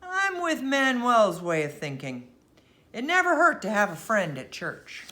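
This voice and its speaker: An easygoing storybook sailor, voiced by a woman, matter-of-fact as he explains. His voice is bright and lively, with an unhurried slow delivery. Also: fairly high pitch, pitched above the performer's own voice, exaggerated voice. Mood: agreeable. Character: easygoing sailor man explaining sea superstitions. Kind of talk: storybook character voice.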